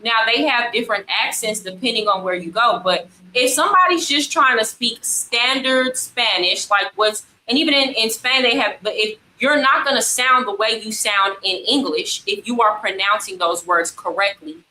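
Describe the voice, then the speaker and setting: Woman, bright forceful voice, fairly high pitch, insistent explaining, casual debate, echoey room, faint noise. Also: moderate pace, hardly any pauses. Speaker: podcast guest. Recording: roomy echo, faint background noise.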